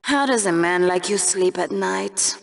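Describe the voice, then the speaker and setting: A woman's voice: mid-range voice, a little slow, vocal fry. An actress playing a cruel, sarcastic female game-show co-host who delivers scornful commentary in a video game. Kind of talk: scripted arena commentary.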